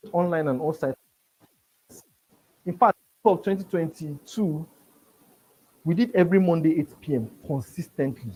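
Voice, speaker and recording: A man's voice: on the high side, husky, rough recording. Stammering Nigerian man teaching a seminar. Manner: stammers, repeats words, energetic. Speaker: business trainer. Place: seminar room with a live audience.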